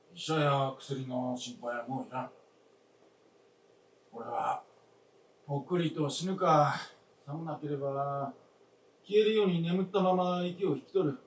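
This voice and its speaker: Stubborn old widower-style father played by a male actor, gruff and weary, heard at a distance in radio drama. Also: medium pitch, close to an everyday voice. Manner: gruff, blunt old-fashioned speech. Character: stubborn, weary elderly father living alone. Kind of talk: Japanese radio drama acting on stage. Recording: roomy distant stage recording, faint noise.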